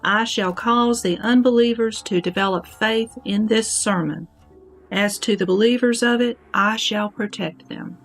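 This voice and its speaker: A woman reciting the part of a devout, protective deity in a scripted Buddhist audio drama, solemn and measured. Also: medium pitch. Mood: reverent, earnest. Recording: music bed under the voice.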